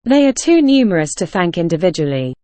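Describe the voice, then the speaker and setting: A woman's voice: lowish voice, big pitch swings, brisk, dark tone, no echo. A woman reading a scripted practice passage aloud. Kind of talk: scripted read-aloud narration.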